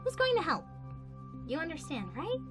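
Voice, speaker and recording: A high-voiced, caring pony princess from a cartoon, acted by a woman, firm and earnest as a worried guardian. Her delivery swings widely and pleads emphatically, with clear music behind it. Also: sing-song, normal speed. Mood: alarmed, scolding. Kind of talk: animated cartoon drama acting.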